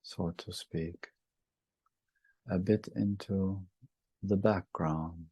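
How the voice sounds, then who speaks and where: A man guides a meditation in a low-pitched, husky voice. His phrases are short and gentle, set apart by long pauses. The sound is very dry and close. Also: fairly even intonation, no room sound, poor audio.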